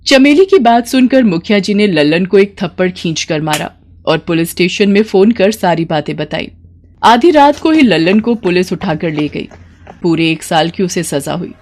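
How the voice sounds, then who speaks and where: A woman's voice: lowish voice, animated, moderate pace, a little mellow. A woman telling a scripted dramatic story as its narrator.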